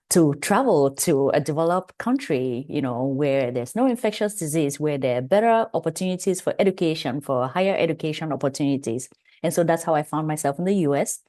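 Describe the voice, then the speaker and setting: A woman with a Cameroonian accent speaks in a low, dark, mellow voice. She gives a webinar talk over a video call, chatty and personal, with asides to her listeners. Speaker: guest presenter, pharmacist. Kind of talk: webinar presentation.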